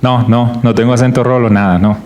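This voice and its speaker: A middle-aged man with a Colombian coastal Spanish accent speaks as a guest at a church service. His voice sits at an ordinary pitch, and his delivery is conversational and unhurried, with pauses and small restarts as he explains his ministry. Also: fairly even intonation, darkish tone, dull audio. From Barranquilla, Colombia. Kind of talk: church talk.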